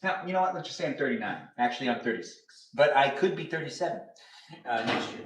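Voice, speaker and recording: A man's voice: mid-range voice, animated, fast. Rambling man in his thirties, roomy and distant. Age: 30s. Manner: playful and rambling, sing-song asides. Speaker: livestream host. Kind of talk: casual livestream chat. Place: home kitchen area. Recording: roomy, distant camera audio.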